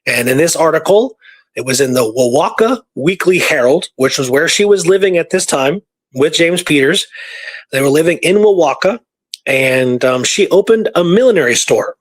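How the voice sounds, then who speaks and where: A man casually retelling history research from his notes, halting and informal as he reads out dates. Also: a little high, expressive, no echo.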